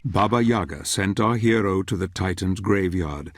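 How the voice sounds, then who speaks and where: An older man playing a grave, brooding narrator in a fantasy video game, speaking in a measured, foreboding way. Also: low-pitched, dry recording. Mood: grave, uneasy. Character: grave, brooding male narrator, wary and ominous companion. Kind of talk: scripted dramatic game narration.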